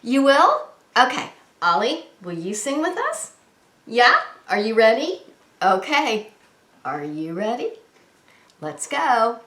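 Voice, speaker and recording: Animated, slow-paced woman teaching preschoolers. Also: on the high side. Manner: simple, exaggerated talk to small children, with frequent questions. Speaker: preschool teacher and story time host. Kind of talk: children's read-aloud and singalong. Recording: roomy, echoey room sound.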